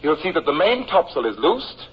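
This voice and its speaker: An actor playing an orderly first lieutenant in a 1950s radio drama, with a clipped, methodical way of briefing. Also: male voice, fairly high pitch, very animated, moderate pace, husky, full character voice. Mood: brisk, businesslike. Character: brisk, orderly first lieutenant of a navy frigate. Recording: muffled old radio recording.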